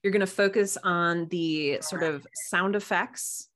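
A woman's voice: lowish voice, steady tone, unhurried, fairly bright tone. A woman chatting casually as a podcast guest, explaining things with enthusiasm. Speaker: podcast guest, writer and audio drama producer. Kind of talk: casual interview chat.

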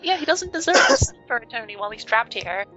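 A woman's voice: on the high side, sing-song, fast. A woman playing a tabletop game, chatting casually and giving her opinions. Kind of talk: casual group chat. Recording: muffled audio over music. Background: music.

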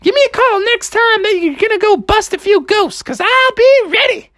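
A woman voicing a feisty, bossy mother frog in an excitable, sassy cartoon style for a story. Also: very high-pitched, normal speed, dry recording, clean studio sound, pitched above the performer's own voice. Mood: chirpy, sassy.

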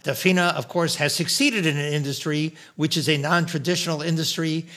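A man's voice: on the high side, steady tone, brisk, pushed and bright. An older man hosting a podcast, introducing his guest and drawing lessons from her story in a professorial way. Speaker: podcast host. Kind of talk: podcast interview.

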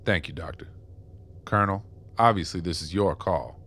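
An actor playing a weathered, deferential outsider in a radio play, speaking in a calm, plain-spoken way. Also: male voice, low voice, normal speed. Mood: calm, conciliatory. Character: deferential, weathered male outsider under suspicion.

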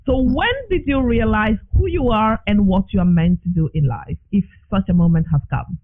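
A man's voice: high-pitched, moderate pace. A man interviewing in a conversational style, affirming his guest and often repeating words as he gathers his thoughts. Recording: dull, band-limited radio-like sound.

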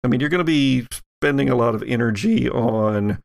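Gravelly man running a tabletop game. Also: medium pitch, moderate pace. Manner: conversational, explanatory. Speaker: game master. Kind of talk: improvised narration of a tabletop game.

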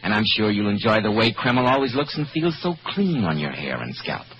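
Lively American 1940s radio announcer man. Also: fairly low pitch, normal speed. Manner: polished, emphatic salesman. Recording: dull, noisy 1940s radio recording.

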